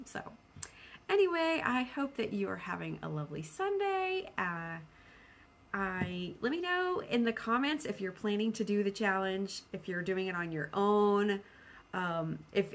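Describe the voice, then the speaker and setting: A woman talking casually to her viewers as a vlog host, rambling and thinking aloud as she goes. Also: mid-range voice. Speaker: host of a book channel.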